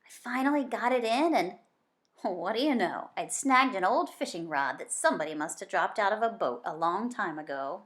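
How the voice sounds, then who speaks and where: A woman reading a children's story aloud in an expressive, dramatic way. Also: mid-range voice, moderate pace. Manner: dramatic, varying from hushed suspense to emphatic excitement. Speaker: children's book reader.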